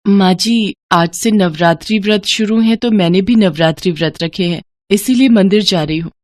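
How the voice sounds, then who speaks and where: Woman playing a gentle, pleading young wife. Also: mid-range voice, moderate pace, close to an everyday voice. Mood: respectful. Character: kind, pious, pleading young daughter-in-law.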